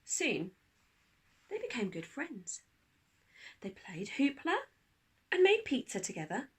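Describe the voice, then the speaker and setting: A woman narrating a children's storybook like a teacher reading aloud, expressive, with dramatic pauses. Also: fairly high pitch, slightly rough.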